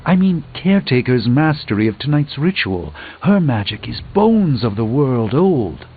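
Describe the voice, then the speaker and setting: An actor playing an erudite, dryly witty sorcerer in a video game, with pompous, theatrical, ornate delivery. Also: male voice, medium pitch, big pitch swings, moderate pace, slightly rough. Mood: admiring, grand. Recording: muffled phone-like band, music behind.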